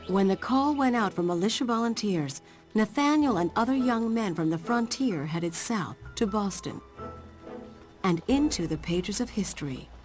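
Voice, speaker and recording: A woman's voice: mid-range voice, animated, normal speed, darkish tone, soft background music, close to an everyday voice. Woman as a solemn, reflective storytelling daughter. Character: reflective daughter narrating her father's war deeds. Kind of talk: scripted game cutscene narration.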